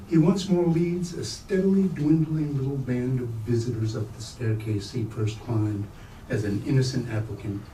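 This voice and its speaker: Male reader, literary prose, dark soft tone, picked up from a distance in an echoing room. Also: mid-range voice. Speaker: reader at a staged book reading. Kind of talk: literary prose reading aloud. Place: bookstore event space, indoors. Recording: roomy, distant mic, faint noise.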